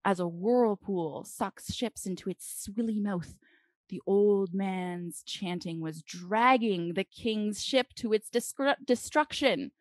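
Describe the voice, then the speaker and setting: A woman reading a children's storybook aloud dramatically, with deliberate phrasing and expressive pauses. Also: mid-range voice, no echo.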